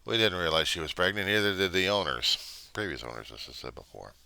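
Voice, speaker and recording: A middle-aged American man with an extremely deep voice that still has a bright, forceful edge. He rambles casually in plain-spoken fashion, halting often as he thinks aloud. The sound is dry and close, with very little room. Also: big pitch swings, gravelly, smooth, no room sound. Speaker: vlogger.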